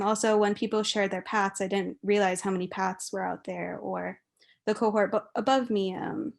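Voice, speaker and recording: A woman's voice: mid-range voice, gravelly. A young adult woman from Hawaii, giving an informal, chatty and giggly speech as a guest speaker. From Kauai, Hawaii.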